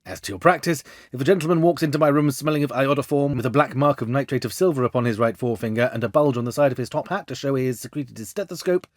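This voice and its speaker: A man voicing a cool, self-assured gentleman detective in a storybook reading, with a precise, analytical and gently condescending manner. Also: mid-range voice, fast talker, hardly any pauses, no room sound, clean studio sound, natural voice. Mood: confidently explaining. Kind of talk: storybook character dialogue.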